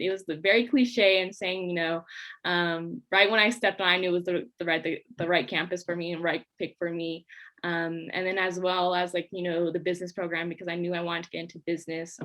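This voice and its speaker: Young woman, student, chatty, enthusiastic, lots of fillers, slightly low voice. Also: a bit bright. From California, USA. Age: young adult. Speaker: student scholarship recipient. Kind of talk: casual self-introduction.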